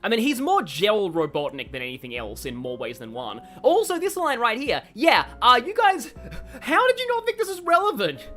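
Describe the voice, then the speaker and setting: Animated, chatty woman game streamer. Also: on the high side, normal speed, hardly any pauses, soft background music. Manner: chatty, excitable, stammers. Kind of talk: casual live game commentary.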